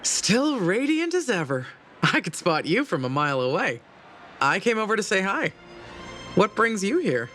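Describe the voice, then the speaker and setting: An actor playing a suave, teasing and philosophical acquaintance in a video game, with a theatrical, familiar manner. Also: male voice, high voice, sing-song, moderate pace, sharp tone, soft background music, exaggerated voice. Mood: teasing, charming. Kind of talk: scripted video game dialogue.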